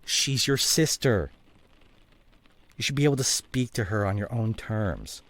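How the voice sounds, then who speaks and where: Estranged husband played by a male actor, audio drama: deep, husky, emotional and wounded, very wide-swinging delivery. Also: expressive, fairly bright tone. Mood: regretful, explaining. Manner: emotional, halting, swinging from muted to heated. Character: estranged husband and father, guilt-ridden, defensive, wounded. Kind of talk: dramatic audio roleplay, one side of a conversation.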